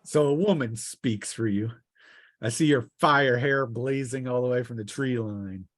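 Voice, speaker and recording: A man's voice: mid-range voice, a little slow, no echo, natural voice. A man voicing a sneering, threatening male bandit leader in a tabletop fantasy game. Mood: mocking menace. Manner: mocking, threatening.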